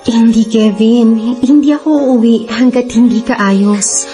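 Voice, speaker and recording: A woman plays a devoted young Filipina girlfriend in a radio drama. Her high voice is tender, earnest and soothing, and light music plays behind her. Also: fairly even intonation, a little slow, dark tone, close to an everyday voice. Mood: consoling.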